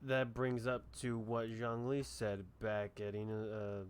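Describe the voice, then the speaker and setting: A man's voice: fairly low pitch, level tone, measured pace, clear, resonant tone. A man giving casual live commentary on a video game, with informal, sweary reactions.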